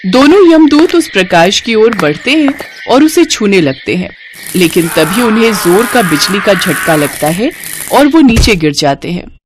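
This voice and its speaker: A woman's voice: medium pitch, big pitch swings, a little slow, noisy background. A woman narrating a devotional story in a scripted storytelling style.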